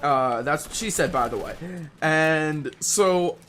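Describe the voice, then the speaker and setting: Higher-voiced young man rambling over background noise. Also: fairly bright tone. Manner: rambling, halting, often rephrasing himself. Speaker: online video storyteller. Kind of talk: casual, unscripted story telling.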